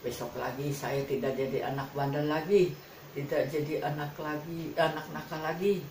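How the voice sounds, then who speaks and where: A woman's voice: low voice, steady tone, moderate pace, a little mellow, far from the mic. A woman voicing a sly young mouse deer in a children's tale, pleading and coaxing in a sing-song way. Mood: worried, pleading. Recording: roomy echo with faint background noise.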